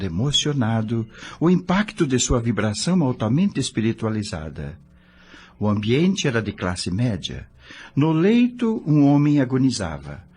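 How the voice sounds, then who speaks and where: A man's voice: medium pitch, a little mellow, slight background noise. A man playing a curious, awed spirit newcomer who narrates his story in an audio novel. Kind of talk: dramatized scripted narration.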